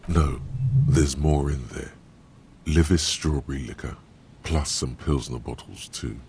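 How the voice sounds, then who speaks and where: Very deep, gravelly, grave game narrator. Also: male voice, a little slow, darkish tone, a little hiss or hum. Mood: dry, grave. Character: grave, world-weary male inner voice narrating a detective's thoughts. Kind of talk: scripted dramatic game narration.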